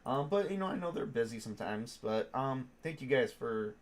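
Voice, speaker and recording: A man's voice: medium pitch, expressive, normal speed. A man with a cold, stuffed up, chatting casually as a tabletop game master on a stream, rambling with many fillers and restarts. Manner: rambling, lots of ums and restarts. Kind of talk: casual live-stream chat.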